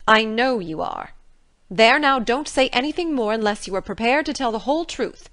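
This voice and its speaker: A woman voicing a strict older female guardian with a sharp, scolding manner, read for an audiobook. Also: mid-range voice, lively intonation, normal speed, dry recording, clean studio sound. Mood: angry. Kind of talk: audiobook character reading.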